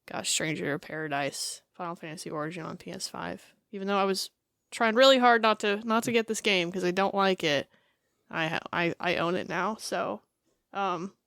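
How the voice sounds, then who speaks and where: A woman co-hosting a casual podcast chat, talking in quick asides and laughing often. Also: mid-range voice, fairly bright tone, clear, resonant tone, dry recording, clean studio sound. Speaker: podcast co-host.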